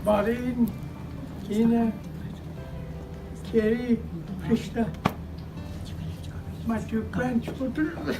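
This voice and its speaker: A man's voice: very high voice, drawn-out words, vocal fry, pauses often. Older man giving a retirement speech. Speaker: retiring physician giving thanks. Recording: distant mic with loud music.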